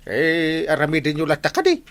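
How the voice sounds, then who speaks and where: A man's voice: on the high side, big pitch swings, brisk, fairly bright tone. An actor with an Ilocano Filipino accent playing an impatient, reluctant village man in a radio comedy drama.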